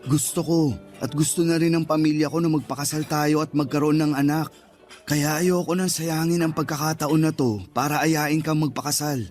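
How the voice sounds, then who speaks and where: A man's voice: fairly high pitch, fairly even intonation, moderate pace, darkish tone, soft background music, lightly acted. A man acting as a devoted, earnest boyfriend in a Tagalog radio drama. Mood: earnest, pleading.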